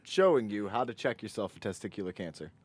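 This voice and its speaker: A man's voice: lowish voice, lively intonation, quick pace, a little mellow, breathy edge. A young man co-hosting a podcast in casual banter, rambling and swearing freely. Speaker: podcast co-host.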